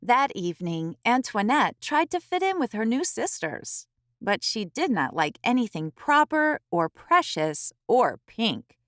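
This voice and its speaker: A woman host reading a picture book aloud to young children, expressively, with careful phrasing and dramatic pauses. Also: a little high, very animated, a bit bright, dry recording, studio quality.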